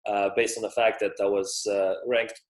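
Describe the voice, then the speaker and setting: Low-voiced man, echoey room. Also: little pitch movement, moderate pace. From Russia. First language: Russian. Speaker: guest, professional tennis player. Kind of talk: casual interview talk.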